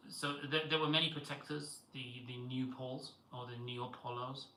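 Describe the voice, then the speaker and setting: A man talks loosely to his viewers on a live stream, wandering from thought to thought with lots of fillers. His voice is very bright and cutting. The room is echoey, with faint ambient noise behind him. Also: medium pitch, fairly even intonation, normal speed. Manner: rambling, many fillers and restarts, chats with viewers. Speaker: livestream host. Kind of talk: casual live-stream monologue.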